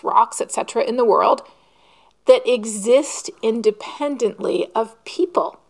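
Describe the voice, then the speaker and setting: A woman preaching a sermon in an earnest, measured way, posing rhetorical questions. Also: a little high.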